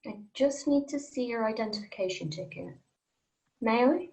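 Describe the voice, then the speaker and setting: A woman reading the part of a calm, matter-of-fact guide to the afterlife in her late thirties, at a script table read. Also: a little high, moderate pace, lightly acted.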